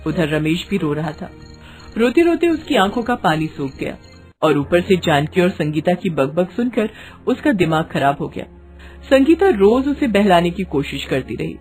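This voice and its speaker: A woman's voice: on the low side, darkish tone. A woman narrating a scripted bedtime story in a dramatic storytelling style.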